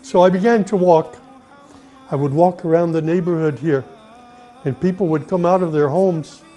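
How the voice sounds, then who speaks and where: Earnest American man telling his story. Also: a little high. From United States. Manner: earnest, unhurried, with pauses and restarts. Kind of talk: personal testimony, reflective storytelling. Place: Saudi Arabia. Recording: soft music behind the voice.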